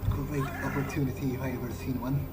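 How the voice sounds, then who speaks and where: A man role-playing through a video game, thinking aloud in character in a low-key, hesitant way. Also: level tone, quick pace. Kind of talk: in-character musing during gameplay. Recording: music behind the voice.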